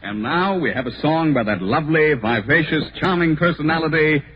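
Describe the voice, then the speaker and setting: A man's voice: fairly high pitch, expressive, a little fast, heavy background noise. A man announcing a sponsored variety show in 1940s radio style. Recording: muffled old 1940s radio recording. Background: loud music.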